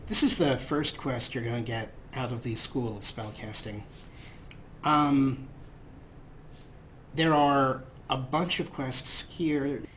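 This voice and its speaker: A man with a medium-pitched voice hosts a game playthrough. He talks casually and playfully, slipping into in-character replies, with faint noise behind him. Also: steady tone, slow, pauses often, a bit bright. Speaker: Let's Play host.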